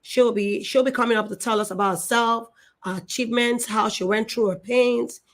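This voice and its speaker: A woman's voice: a little high, steady tone, moderate pace, fairly bright tone. A middle-aged woman hosting a live online show, effusive and emotional, repeating herself and restarting her sentences. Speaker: show host.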